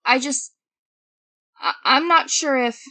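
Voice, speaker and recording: An earnest girl of twelve, played by a woman has a fairly high voice. She sounds anxious and hesitant, quick to bristle, as a character in an audio drama. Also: bright, forceful tone, heavily acted. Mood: hesitant, downcast.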